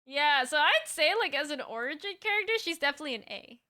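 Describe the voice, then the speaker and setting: A woman's voice: high-pitched, expressive, quick pace, sharp tone. A woman hosting a casual livestream chat, friendly and upbeat, with a voice that is slightly tired. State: voice slightly raspy from tiring. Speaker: streamer host, VTuber.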